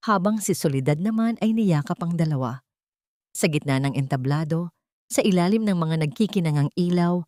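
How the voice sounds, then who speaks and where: A woman's voice: lowish voice, a little mellow, no room sound, clean studio sound. A woman narrating a sentimental audio drama story from a script in a solemn, emotive way.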